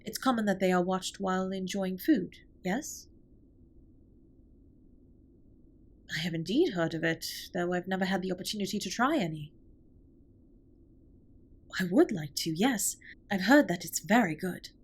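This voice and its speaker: A woman's voice: fairly low pitch, expressive, moderate pace, slightly rough. A woman playing a formal, reserved but tender alien spaceship captain in a scripted comfort audio roleplay, speaking with precise, stilted politeness. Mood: formal, curious.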